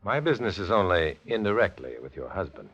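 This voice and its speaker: An American actor in a 1950s radio drama playing a cool, guarded undercover agent with a clipped, measured, insinuating delivery. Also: male voice, deep voice, sing-song, fairly bright tone, husky, full character voice. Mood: cool, businesslike. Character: cool, guarded male undercover agent posing as Communist blackmailer. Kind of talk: 1950s American radio drama acting with first-person narration. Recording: dull, lo-fi old radio recording, music behind.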